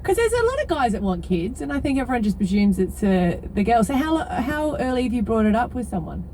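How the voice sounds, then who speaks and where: A woman radio host chats with callers in an informal interviewing style. Her voice is mellow with lively swings in pitch, heard over a car radio with a little road noise. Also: a little fast, rough recording. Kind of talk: conversational phone-in interviewing. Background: faint car noise.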